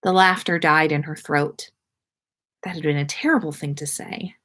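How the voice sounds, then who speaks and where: A woman with a fairly low voice reads a story aloud. She narrates in the manner of a podcast host sharing fiction with listeners. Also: steady tone, moderate pace, a bit bright.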